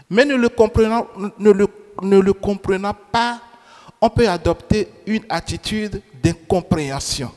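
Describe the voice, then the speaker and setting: A man's voice: sits high, a little mellow. A man preaching a sermon in French, which is not his first language. He repeats key phrases and puts questions to the congregation. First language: another language than French. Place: church. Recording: church reverb. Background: long hall reverb.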